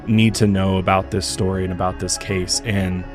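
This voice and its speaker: A hoarse male podcast host, chatty and rambling, talking in a studio. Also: low voice, flat delivery, normal speed, music in the background. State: hoarse from illness. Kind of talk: podcast interview.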